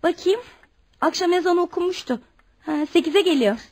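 An actress playing an obedient household maid in a Turkish radio drama. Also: female voice, extremely high pitch, moderate pace, darkish tone. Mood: obliging. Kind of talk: Turkish radio theatre acting.

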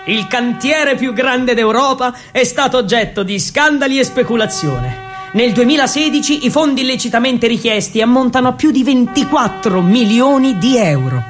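An Italian man performing scripted theatrical narration for radio, in a declamatory, deliberate storytelling manner. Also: extremely high pitch, animated, fairly bright tone, faint music behind. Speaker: actor and narrator of a theatre monologue.

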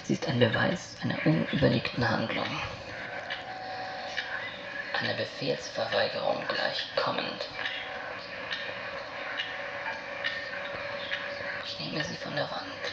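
A man acting a tormented, guilt-ridden figure in a surreal radio play monologue, speaking slowly and haltingly in a solemn, breathy near-whisper. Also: medium pitch. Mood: haunted, hushed. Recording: dull lo-fi radio recording, heavy noise.

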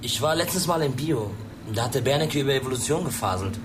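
A man's voice: medium pitch, expressive, quick pace, background noise, natural voice. A man playing a callous, flippant teenage schoolboy in a radio drama, slangy and sneering. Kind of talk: naturalistic radio drama acting.

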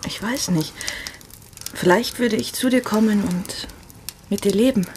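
Radio play casting: actress for a lovestruck well-bred married woman, medium-pitched, yearning and intimate, with halting, pleading delivery. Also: background noise, natural voice. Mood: tentative, hopeful. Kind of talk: radio drama acting.

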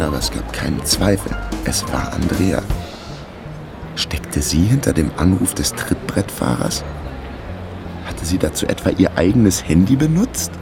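A man's voice: extremely low pitch, creaky, exaggerated voice. A man narrating a radio crime drama as a laconic amateur sleuth, in a terse, matter-of-fact way. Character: laconic male amateur sleuth telling his own case.

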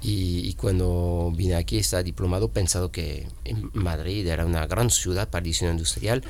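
French-accented man, low relaxed voice. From France. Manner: halting, many restarts and fillers. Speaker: guest illustrator. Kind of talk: casual interview chat.

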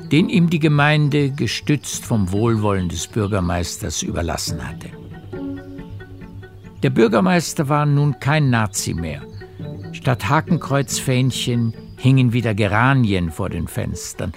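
A man narrating a literary radio play in a measured storytelling manner. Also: fairly low pitch, vocal fry. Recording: music behind the voice. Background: music.

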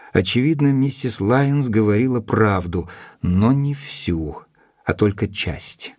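An actor playing an earnest doctor who narrates his investigation in an audio drama, with a measured, probing delivery. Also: medium pitch, a little mellow, natural voice.

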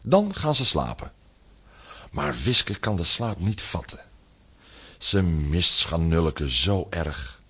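A man's voice: deep voice, big pitch swings, slow, pauses often, pushed and bright, breathy edge. A man narrating a scripted children's story as the narrator of an audio drama.

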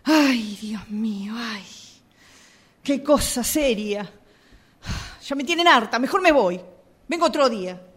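A woman's voice: fairly high pitch, expressive, slow, long pauses, fairly bright tone, slightly rough, full character voice. A woman playing an impatient, fed-up customer grumbling and complaining in a radio theatre exercise. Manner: complaining, exasperated. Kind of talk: radio theatre workshop monologue.

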